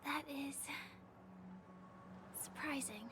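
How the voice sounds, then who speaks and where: An actress playing a gentle, wistful young woman in a video game, soft, breathy and often nearly whispering. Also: sits high, extremely slow, slight background noise, exaggerated voice. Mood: gently teasing. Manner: gentle, reflective. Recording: game audio under loud background music.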